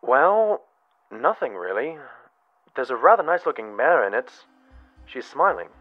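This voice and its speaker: An actor playing an earnest, hesitant, polite sidekick in an audio drama. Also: male voice, medium pitch, sing-song, a little slow, narrow, tinny audio, soft background music.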